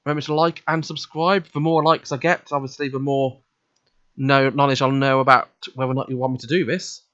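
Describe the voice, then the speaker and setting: A man's voice: medium pitch, little pitch movement, fairly quick, a bit bright, no room sound. Man reading aloud on a dull, dry mic. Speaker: let's play host. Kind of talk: reading visual novel narration aloud, casual commentary.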